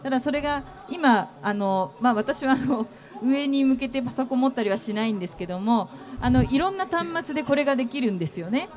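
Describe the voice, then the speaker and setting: A middle-aged woman interviewing a guest on an expo stage, asking long, gentle questions full of fillers into a handheld mic. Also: high voice, animated, a little fast, few pauses. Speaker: interviewer, company executive.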